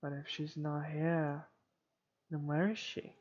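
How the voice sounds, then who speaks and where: A man reading first-person horror game narration aloud in a dramatic way, with some halting stumbles. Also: on the high side, level tone, highs cut off, background noise. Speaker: gamer reading a visual novel aloud. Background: game music.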